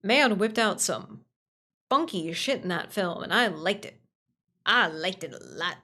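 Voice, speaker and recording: A woman's voice: fairly low pitch, lively intonation, unhurried, bright, forceful tone. A woman chatting casually on a livestream, answering viewers in a gushing way and repeating phrases for emphasis. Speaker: livestream host.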